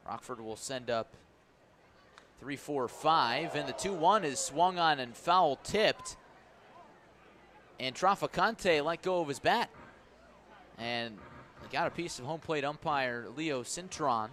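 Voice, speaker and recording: A man's voice: medium pitch, a little hiss or hum. A man doing live baseball play-by-play on radio in clipped, descriptive calls that lift when the action picks up. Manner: clipped descriptive calls, rising to excitement on plays. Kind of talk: live sports commentary. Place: outdoor ballpark broadcast position.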